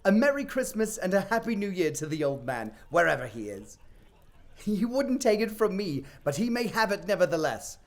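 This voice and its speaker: A man playing a jovial, good-tempered young nephew in an audio play, hearty, exuberant and loudly declaiming. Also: high voice, big pitch swings, normal speed, voice pushed up. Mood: merry, exuberant.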